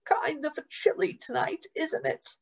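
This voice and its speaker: A woman reading aloud, voicing a gentle, courteous old blind man who speaks softly and hesitantly. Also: high voice, measured pace, creaky, pitched above the performer's own voice.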